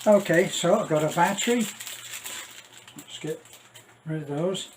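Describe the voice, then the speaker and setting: A middle-aged man giving a casual, rambling unboxing commentary, halting and thinking aloud as he goes. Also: a little high, noisy background. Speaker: vlogger.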